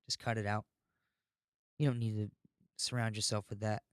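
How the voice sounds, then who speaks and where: Low-voiced man rambling on a podcast. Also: flat delivery, moderate pace, clean tone, no echo, clean studio sound. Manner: ranting, informal, swearing. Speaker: podcast host. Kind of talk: casual rambling podcast monologue.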